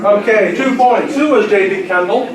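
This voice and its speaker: A man's voice: high voice, moderate pace. An older man acting as quiz master, reading out questions and answers to a club gathering in a big room. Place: large room at a club luncheon. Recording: distant mic in echoey room, chattering crowd.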